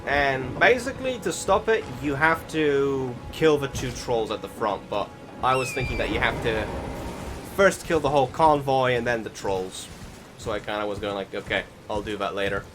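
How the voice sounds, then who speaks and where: A man's voice: mid-range voice, moderate pace. A man giving casual voiced-over commentary on his video game playthrough, rambling along in a self-deprecating way. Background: music behind the voice.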